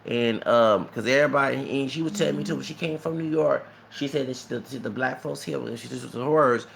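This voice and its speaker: A man's voice: medium pitch, a little fast, vocal fry, a bit bright, a little hiss or hum. A man telling stories in a casual, rambling vlog monologue, often repeating words, tagging lines with "okay?" and slipping into Spanish. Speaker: vlogger.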